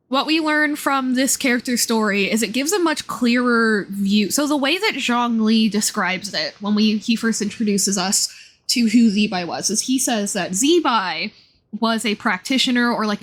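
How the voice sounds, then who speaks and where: A woman's voice: a little high, fairly bright tone. A woman livestreaming a game, chatting casually and enthusiastically about its lore as she rambles and thinks aloud. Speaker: live streamer.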